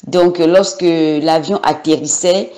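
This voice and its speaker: A woman's voice: deep voice, fairly even intonation, takes it slowly, warm tone. A woman from Gabon in her thirties giving an unscripted personal testimony, hesitant, with many fillers and repeated words.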